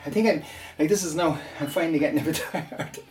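A man's voice: fairly high pitch, fast, a little mellow, soft background music. Man, out of breath from a workout, rambling casual chat with frequent laughs. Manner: rambling, chatty, laughs often between sets. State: out of breath and sweaty. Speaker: online audio creator. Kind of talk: casual chat while working out.

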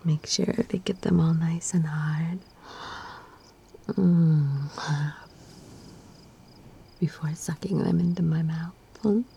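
A woman speaking in a soft, breathy whisper with teasing murmurs, performing an intimate audio roleplay. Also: deep voice, a little hiss or hum.

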